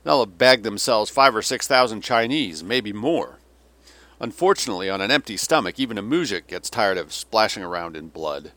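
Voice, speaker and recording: A man's voice: fairly low pitch, animated, normal speed, bright, forceful tone, no echo. A man reading an audiobook as a weary, vulgar old Russian general, sardonic and theatrical, given to sneering rants. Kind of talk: dramatic audiobook character reading.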